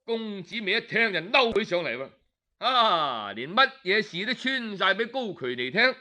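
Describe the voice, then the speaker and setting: A man with a Cantonese accent telling a historical tale colloquially, with drawn-out particles and interjections. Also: sits high, big pitch swings, bright, forceful tone. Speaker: storyteller. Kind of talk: lively traditional oral storytelling of historical tales.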